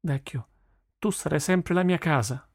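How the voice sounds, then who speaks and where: A man's voice: fairly high pitch, big pitch swings, normal speed, darkish tone, no echo, clean studio sound, voice pushed up. A man voicing a stubborn, fiery thirteen-year-old girl in an audiobook, with an insistent, youthful manner. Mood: affectionate. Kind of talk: audiobook character voice.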